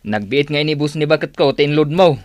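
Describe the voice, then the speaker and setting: An actor playing a put-upon neighbourhood husband in a radio comedy drama. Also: male voice, fairly high pitch, fairly quick, dry recording, lightly acted. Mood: protesting. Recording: music bed behind radio drama dialogue.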